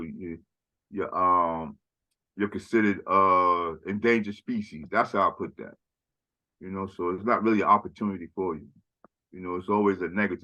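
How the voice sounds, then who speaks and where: A man's voice: fairly low pitch, bright, forceful tone, muffled recording. A man speaking in a casual conversational chat as a podcast guest, rambling and often tagging "right?" and "you know".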